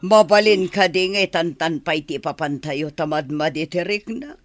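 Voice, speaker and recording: A woman's voice: on the low side, moderate pace, dry recording, faint music behind, natural voice. A woman with a Filipino accent playing a wheedling, scheming older mother in a comedy radio drama. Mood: plaintive. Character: wheedling older mother, scheming over her son's love life.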